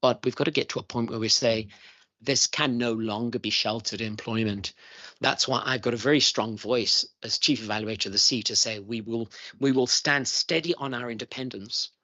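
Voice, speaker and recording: A man's voice: medium pitch, fairly even intonation, normal speed, sharp tone, no echo. A middle-aged man giving earnest, emphatic testimony to a parliamentary committee, repeating his key points. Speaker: chief schools evaluator testifying to a committee. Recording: dull lo-fi committee room audio.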